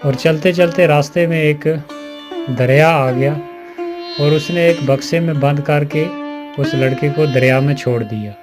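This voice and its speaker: A man tells a scripted moral story. His voice is pitched a bit higher than most men's. Music runs behind his narration. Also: measured pace.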